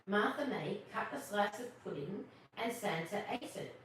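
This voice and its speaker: A woman reading a children's story aloud as host, expressive, drawing out her words. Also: medium pitch. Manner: expressive, drawn-out words, questions to listeners. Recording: very echoey, distant mic, faint noise.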